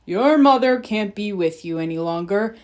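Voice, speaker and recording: A woman voicing a solemn, stately father stag in a storybook, with a grave, measured manner. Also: mid-range voice, animated, darkish tone, close to an everyday voice.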